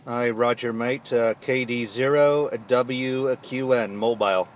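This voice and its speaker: A man on a mobile ham rig, speaking clearly into a handheld mic. His voice sits at an ordinary pitch. Faint traffic hum runs behind him. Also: fairly even intonation, unhurried. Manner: friendly, clear call signs, technical. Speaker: mobile radio amateur. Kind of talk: ham radio contact. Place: in a vehicle. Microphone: handheld radio microphone.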